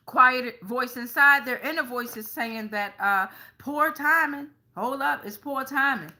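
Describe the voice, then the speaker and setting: A woman's voice: high-pitched, bright, forceful tone. A woman hosting an improvised tarot reading, talking colloquially to viewers with frequent check-in tags and some swearing. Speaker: host, tarot reader.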